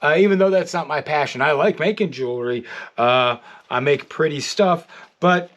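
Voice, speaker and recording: Middle-aged man, American accent, slightly high voice, casual rambling vlog style, halting, repeated words. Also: a bit bright. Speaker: artist and toy maker. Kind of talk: casual rambling video update. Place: art studio, indoors.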